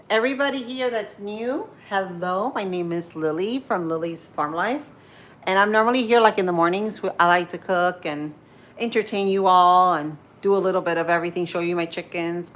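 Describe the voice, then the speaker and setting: A woman's voice: lowish voice, normal speed, a bit bright. A woman in her thirties with a Spanish-influenced accent, hosting a casual morning livestream and chatting with viewers while she cooks. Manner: chatty, rambling, answers viewer comments as she goes. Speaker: livestream host.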